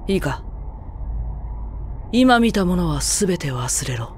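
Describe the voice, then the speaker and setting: A man's voice: high-pitched, big pitch swings, slow, a little mellow, slight background noise, exaggerated voice. An actor playing a cold, commanding male android officer who threatens an underling. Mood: menacing. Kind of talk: Japanese audio drama acting. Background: background music.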